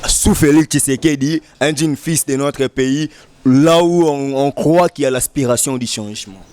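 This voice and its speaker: A man's voice: mid-range voice, moderate pace, a little mellow, slight background noise. A man in a political discussion who cuts in with brief interjections and corrections. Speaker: debate participant.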